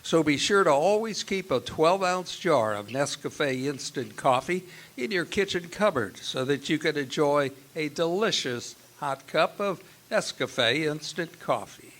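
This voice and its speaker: A man's voice: fairly high pitch, lively intonation, unhurried, a bit bright. An American man announcing in the style of an old-time radio commercial, with an upbeat, emphatic sales delivery.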